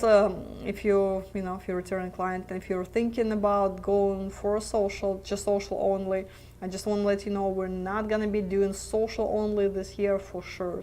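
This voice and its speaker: A woman's voice: medium pitch, darkish tone, a little hiss or hum. Woman hosting a live q&a stream, chatty, laughs a lot, voice going a bit from talking so much. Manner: chatty, laughs easily, many filler words and restarts. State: losing her voice. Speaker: webcast host answering viewer questions. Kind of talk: live question-and-answer chat.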